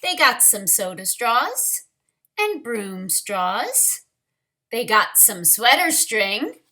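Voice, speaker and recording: A woman teacher leading a read-aloud storytime for young children, speaking deliberately and directly to them. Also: on the high side, sing-song, bright, forceful tone, slight background noise.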